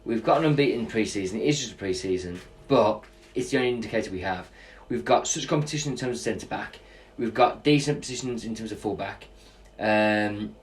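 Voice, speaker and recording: A man co-hosting a football podcast, thinking out loud in casual chat with plenty of fillers and restarts. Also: lowish voice, distant mic. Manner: chatty, rambling analysis with fillers. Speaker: podcast co-host.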